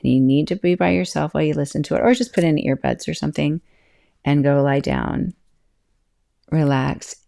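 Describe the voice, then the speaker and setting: Gravelly, mellow-voiced woman podcaster. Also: low-pitched, moderate pace. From California. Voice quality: can be loud and projecting, calm-sounding. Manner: chatty, self-interrupting, laughs often. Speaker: podcast host and yoga teacher. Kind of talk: casual solo podcast talk.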